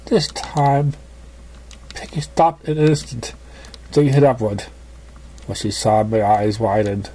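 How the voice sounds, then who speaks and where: A man reading scripted story narration, somewhat haltingly, stumbling over words now and then. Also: medium pitch, little pitch movement, mellow. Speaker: story reader.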